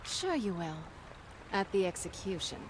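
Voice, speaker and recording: A woman's voice: mid-range voice, sing-song, normal speed, noisy background, close to an everyday voice. Woman playing a cocky, commanding pirate captain. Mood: cold, commanding. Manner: wry, taunting, commanding.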